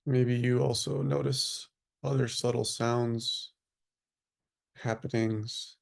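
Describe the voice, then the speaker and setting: A man leading a guided meditation as a teacher, speaking in hushed, sparse phrases with long pauses. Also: medium pitch, little pitch movement, vocal fry.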